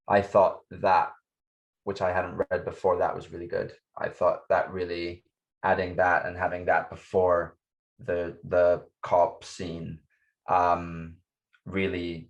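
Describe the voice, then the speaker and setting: A man's voice: sits low, low-quality recording. A man giving casual, unscripted feedback, tentatively searching for his words as he goes. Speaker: participant giving feedback on a table read.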